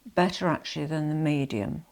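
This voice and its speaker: Low gravelly woman with a nasal cold. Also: normal speed. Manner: rambling, frequent "you know" asides, drops to soft near-whispers. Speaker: vlogger showing her handbag collection. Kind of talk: chatty collection vlog.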